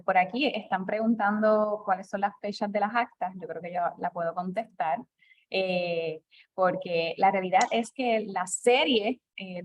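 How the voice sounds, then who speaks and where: A woman, mid-pitched, moderates a lecture Q&A for an archive. She speaks in a measured, formal way as she relays audience questions. Her voice is recorded close and dry. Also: dry recording.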